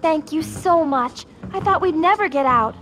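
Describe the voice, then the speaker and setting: An anxious, helpful lodge receptionist in an animated game. Her voice is high and earnest, with music clearly playing behind her. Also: normal speed. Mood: earnest, concerned. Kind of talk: animated video game acting.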